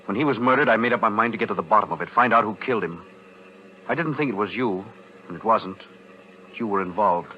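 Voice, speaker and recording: Man playing a deep-voiced, composed radio lawyer. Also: quick pace, lightly acted. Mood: calm resolve. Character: composed, principled male lawyer, quietly resolute. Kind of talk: 1940s radio thriller acting. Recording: muffled, noisy vintage radio broadcast.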